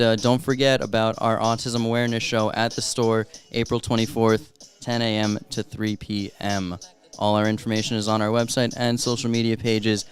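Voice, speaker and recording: A man, co-hosting a casual podcast in a steady, smooth radio-style voice. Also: mid-range voice. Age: 30s. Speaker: podcast co-host. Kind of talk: casual chat.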